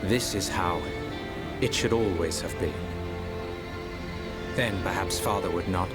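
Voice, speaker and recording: A man's voice: sits low, a little slow. A man playing a noble young prince rallying his army in a video game, stately and declamatory. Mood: resolute. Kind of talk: scripted video game cutscene acting. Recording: loud orchestral music over voice.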